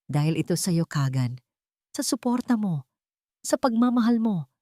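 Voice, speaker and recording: A woman narrator acts out a devoted young wife in a romance tale. She is grateful and heartfelt, speaking softly in a dry recording. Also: mid-range voice, very animated, moderate pace, no room sound, lightly acted. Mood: grateful, loving.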